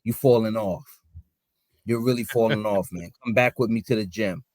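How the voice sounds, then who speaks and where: A man hosting a podcast, telling a story in relaxed casual slang among friends. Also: fairly low pitch, moderate pace, no room sound, studio quality. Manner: laid-back slangy storytelling. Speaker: podcast host. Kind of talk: casual chat.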